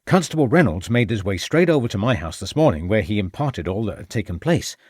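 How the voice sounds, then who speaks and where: Read by a man, a deferential young constable, earnest and respectful as he reports. The voice is low and husky, with a lively lilt. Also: a little fast, dry recording, studio quality. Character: young red-faced village constable, respectful.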